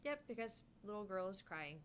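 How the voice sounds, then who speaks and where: A woman's voice: medium pitch, animated, brisk, a little hiss or hum. A woman chatting casually as co-host of a visual novel let's play, reading along and commenting.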